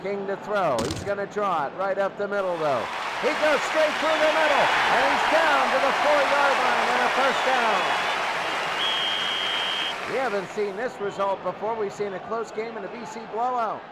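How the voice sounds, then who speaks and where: A roaring crowd and heavy noise surround a man doing football play-by-play. His voice is high and excitable, and he repeats phrases for emphasis as he follows the action. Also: moderate pace. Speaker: play-by-play announcer. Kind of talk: American football play-by-play commentary. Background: roaring stadium crowd.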